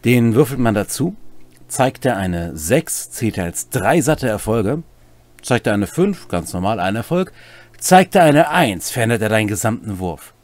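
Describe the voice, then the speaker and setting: A man's voice: on the low side, sing-song, a little slow, darkish tone. A middle-aged man co-hosting a semi-scripted explainer of tabletop game rules, with casual asides, in a room at home. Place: small home room, indoors.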